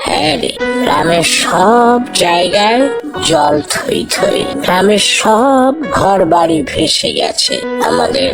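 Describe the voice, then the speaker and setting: A performer voicing an excitable, nostalgic middle-aged father in a cartoon, with a West Bengal Bengali accent. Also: medium pitch, slow pace, gravelly, full character voice. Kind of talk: cartoon family dialogue. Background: prominent background music.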